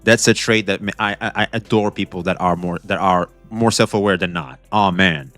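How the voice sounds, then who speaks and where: Low-voiced excitable reaction host man. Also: moderate pace, faint music behind. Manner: excitable, talks back to the video, repeats himself. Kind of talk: casual reaction commentary.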